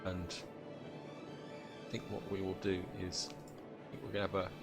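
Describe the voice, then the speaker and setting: A British man with a croaky voice from a chest cold, chatting casually as he thinks aloud through a city-building game. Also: sits low, monotone, normal speed, music in the background. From Britain. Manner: thinks aloud, chatty, addresses viewers as guys. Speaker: gameplay commentator.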